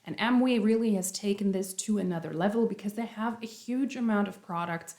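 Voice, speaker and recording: A woman's voice: mid-range voice, a little fast, darkish tone. Nasal woman with a cold, roomy echo. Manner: chatty, self-deprecating, explains step by step. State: has a cold, slightly nasal. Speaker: YouTube commentary host. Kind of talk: casual explainer commentary.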